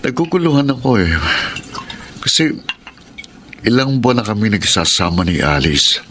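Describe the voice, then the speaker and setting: An actor with a Filipino accent playing a guilt-ridden husband in a radio drama, confessing in an emotive way. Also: male voice, mid-range voice, sing-song, moderate pace, a bit bright, slight background noise. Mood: confused, guilty. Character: troubled, guilt-ridden husband torn between two women.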